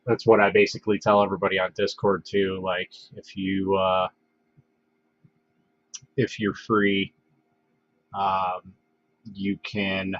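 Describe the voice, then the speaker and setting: A man hosting a casual livestream, congested from a head cold, talking in a relaxed, halting way with lots of fillers and restarts. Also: low-pitched. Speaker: livestream host. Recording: dull, dry lo-fi home stream audio.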